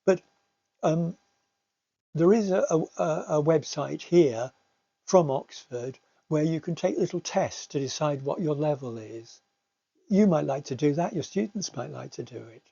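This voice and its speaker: A man's voice: a little high, measured pace, gravelly, mellow, breathy edge, lo-fi sound. An older man, an English teacher, giving an informal recorded slide talk in a hesitant manner, often repeating words as he explains.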